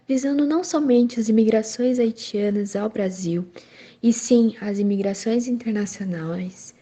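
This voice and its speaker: Teen girl host, husky mellow voice, reads a prepared script. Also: mid-range voice. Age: teens. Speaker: student podcast host. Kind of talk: reading a scripted introduction.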